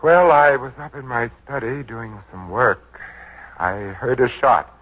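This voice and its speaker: A man's voice: medium pitch, animated, a little slow. A man playing a formal, pompous, treacherous employer who feigns reluctance in a 1940s radio drama. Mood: measured, grave. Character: smooth-talking, falsely reluctant, treacherous well-off employer villain. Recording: dull vintage 1940s radio recording.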